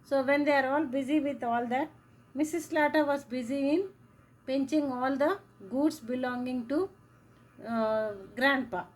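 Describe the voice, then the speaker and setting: A woman teacher giving a slow lecture, repeating key phrases as she explains. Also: sits high, poor audio. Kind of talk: classroom lecture.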